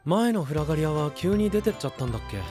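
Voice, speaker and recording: An actor playing an easygoing, gentle young knight in an anime voice drama, with casual phrasing. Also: male voice, on the high side, expressive, very fast, close to an everyday voice. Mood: musing. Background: background music.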